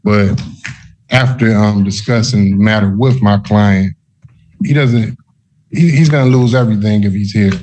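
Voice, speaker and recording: A man's voice: fairly low pitch, normal speed, a little mellow, a little hiss or hum, rough recording. A man arguing in court as a defense attorney, deferential and repeating his phrases as he goes. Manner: deferential, repetitive. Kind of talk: courtroom argument.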